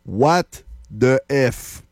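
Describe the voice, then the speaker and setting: A man's voice: medium pitch, expressive, extremely slow, a little mellow, no room sound, studio quality. A man with a Québécois French accent hosting a late-night call-in radio show, joking and laughing often between remarks. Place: radio studio. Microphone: studio microphone.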